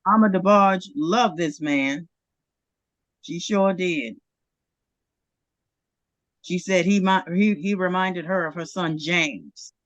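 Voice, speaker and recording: A woman's voice: on the low side, takes it slowly, long pauses, no echo, muffled recording. A woman guest chatting casually, agreeing and reminiscing with a friend.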